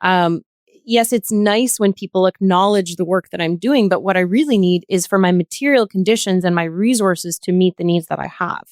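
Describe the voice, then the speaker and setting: A woman speaking as a guest in an earnest discussion, choosing words in a measured, deliberate way. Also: fairly low pitch, darkish tone, no room sound, studio quality. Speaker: podcast guest, healthcare worker.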